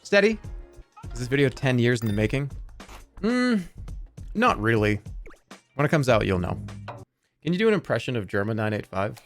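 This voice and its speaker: A man's voice: mid-range voice, big pitch swings, normal speed, pauses often, faint music behind. A man in his thirties streaming a game, chatting casually in a rambling, sarcastic way and swearing freely.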